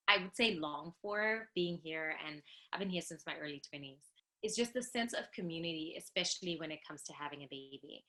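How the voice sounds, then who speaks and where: Bright-voiced woman, lively. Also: on the low side, low-quality recording. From Zimbabwe. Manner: enthusiastic, animated, laughs often. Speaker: guest, nurse practitioner and lactation consultant. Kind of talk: casual podcast interview.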